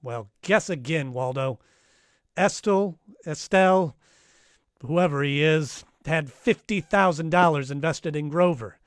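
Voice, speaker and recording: An actor playing a wry, tough private detective, narrating and questioning in an old-time radio crime drama. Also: male voice, a little high, unhurried, clear, resonant tone, no echo, studio quality, close to an everyday voice. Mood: sardonic, accusing. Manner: wry, matter-of-fact.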